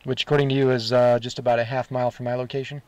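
A man's voice: medium pitch, little pitch movement, rapid-fire, background noise. A man talking casually as a radio operator running a test with a partner.

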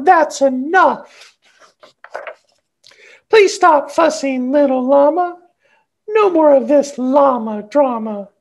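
A man voicing a scolding but gentle mother llama from a children's storybook. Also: very high voice, gravelly, voice pushed up, full character voice. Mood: firm, scolding.

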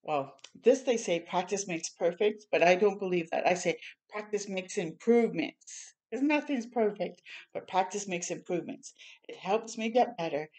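An older woman reads a children's picture book aloud in a small room at home. Her voice sits at a medium pitch for a woman. She breaks away from the text to add encouraging, chatty asides for young listeners. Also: moderate pace, darkish tone. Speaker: children's storytime reader.

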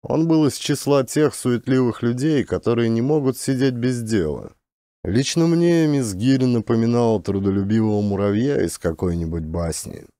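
A man narrating a scripted audiobook in a measured, expressive storytelling manner. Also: mid-range voice, no echo, clean studio sound.

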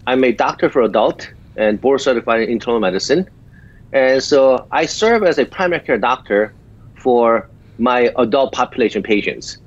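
A man in his fifties from South Korea with a small Korean accent, speaking in halting sentences full of filler pauses as a guest doctor in a remote interview. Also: mid-range voice, animated. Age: 50s. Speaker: guest physician. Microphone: video call. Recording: dull lo-fi video call audio.

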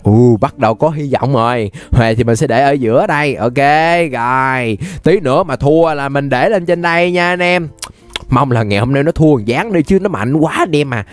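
A man's voice: mid-range voice, moderate pace. A young man with a Southern Vietnamese accent giving casual, playful, exclamatory gameplay commentary as a YouTube host. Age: young adult. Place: indoor room, webcam setup.

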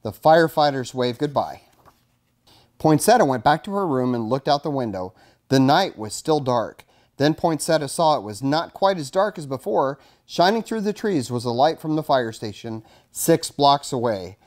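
A man's voice: mid-range voice, big pitch swings, normal speed, a little mellow. A middle-aged man with an American accent, a fire chief reading a children's storybook aloud and talking to kids. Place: indoors in front of a curtain backdrop, studio-like setup.

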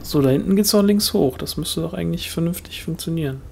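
Dry-joking German gamer, slightly high voice. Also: male voice, quick pace, gravelly, a little mellow, slight background noise. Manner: dry, tells jokes, grumbles at the game. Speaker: let's play gamer. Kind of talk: casual co-op gaming chat. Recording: game music behind the voice.

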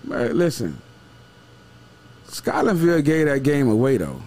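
A man's voice: medium pitch, wildly swooping pitch, unhurried, a little mellow. A man chatting casually about football as a show analyst, emphatic and repeating himself, with some swearing. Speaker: sports show co-host and analyst. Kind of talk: casual football postgame chat. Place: small wood-paneled studio room. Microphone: podcast microphone with headset.

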